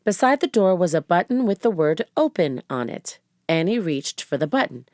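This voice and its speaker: A woman's voice: lowish voice, big pitch swings, moderate pace, darkish tone, no echo, studio quality. A woman narrating a children's chapter book aloud as a storybook reader.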